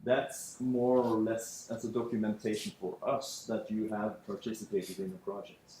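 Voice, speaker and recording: A man's voice: on the low side, little pitch movement, moderate pace, warm tone, slight background noise. Echoey Norwegian man lecturing. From Norway. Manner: loose, hesitant, with many fillers. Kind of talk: seminar introduction. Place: lecture room. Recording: echoey classroom.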